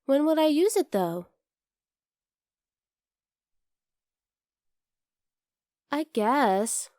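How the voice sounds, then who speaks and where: A very high, girlish bunny girl, played by a woman, recorded close and dry for an ASMR roleplay. She is teasing and outdoorsy, curious about humans, and blunt in a casual, playful way. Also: lively intonation, a little slow, a little mellow, no echo. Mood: casual, chatty. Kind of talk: ASMR roleplay addressed to the listener.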